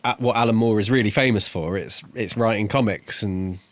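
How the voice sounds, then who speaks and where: A man co-hosting a podcast, talking casually about a book in a halting way, building each thought piece by piece. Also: lowish voice, no echo. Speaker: podcast co-host reviewing a book. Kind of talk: casual conversational book discussion.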